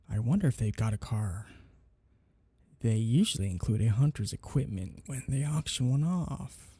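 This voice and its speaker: Man playing a husky, smug vampire, close up. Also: mid-range voice, mellow, no room sound, exaggerated voice. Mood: wistful, flirtatious. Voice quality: husky, breathy. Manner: teasing, taunting, lingering drawl on words. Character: smug, predatory male vampire, mockingly flirtatious toward captive. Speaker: ASMR roleplay performer. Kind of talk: intimate ASMR roleplay monologue.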